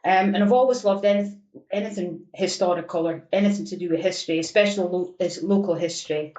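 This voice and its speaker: A woman's voice: lowish voice, quick pace, dark tone, roomy sound, poor audio. A woman from Aberdeen with a local Scottish accent, a librarian steadily explaining her team's work on a podcast. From Aberdeen, Scotland.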